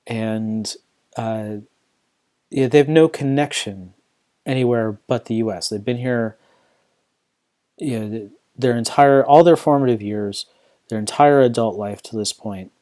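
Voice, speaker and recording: A man's voice: mid-range voice, measured pace, pauses often, warm tone. A man hosting a podcast, talking through his views in a loose, rambling way with frequent restarts and fillers. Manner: rambling, many restarts and "you know" fillers. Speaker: podcast host. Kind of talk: casual solo podcast commentary.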